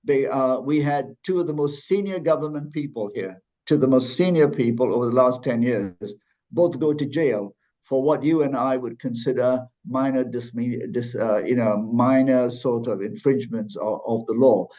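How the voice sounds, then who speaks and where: A man's voice: medium pitch, normal speed, mellow, muffled recording. A man from Malaysia speaking as a podcast guest, thoughtful, with frequent restarts and fillers. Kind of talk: conversational interview.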